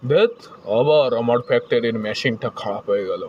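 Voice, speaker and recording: A man's voice: mid-range voice, a little fast, lo-fi sound, slight background noise, lightly acted. A man voicing a grumbling, sarcastic middle-aged father and husband in a comedy cartoon dub. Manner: sarcastic, lecturing.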